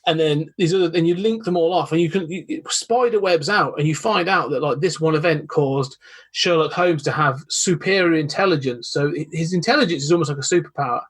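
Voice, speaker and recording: A man's voice: fairly high pitch, fairly quick, husky. A man who is a guest on a podcast, chatting casually and explaining at length with many restarts. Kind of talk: casual chat.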